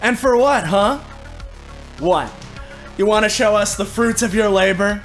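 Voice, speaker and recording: A cocky, sharp-tongued fighter, played by a man in a tabletop game, taunts theatrically. The voice is fairly high for a man, with faint music behind. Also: a little slow. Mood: scornful taunting.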